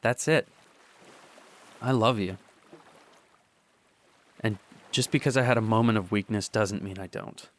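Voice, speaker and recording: A young man acting a guilt-torn boyfriend in an audio drama, pleading his faithfulness in a halting, insistent way. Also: fairly low pitch. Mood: pleading, earnest. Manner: halting, repetitive, insistent confession.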